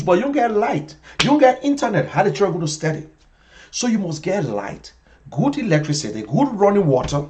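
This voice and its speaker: A man in his fifties with a Liberian English accent, giving an impassioned political talk show monologue, emphatic and repetitive, swinging between loud bursts and quiet asides. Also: a little high. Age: 50s.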